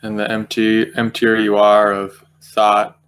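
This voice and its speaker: A man with a low voice guides a meditation in a very flat, even tone. He speaks gently and unhurriedly, leaving long pauses between phrases as he finds his words. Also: smooth. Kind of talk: guided meditation, unscripted spiritual talk.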